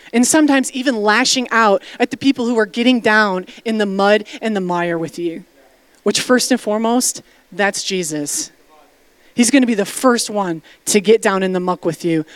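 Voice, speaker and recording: A woman pastor preaching with emphatic, direct delivery, calling out to the congregation for answers. Her voice sits at a middling pitch for a woman. The sound is dry, with little room echo. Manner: emphatic, call-and-response preaching with asides. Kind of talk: sermon. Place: church sanctuary.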